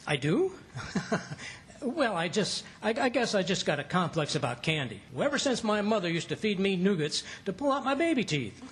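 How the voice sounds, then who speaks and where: A man playing a stubborn, excitable, wisecracking husband in an old-time radio comedy. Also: high voice, normal speed. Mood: evasive, wisecracking.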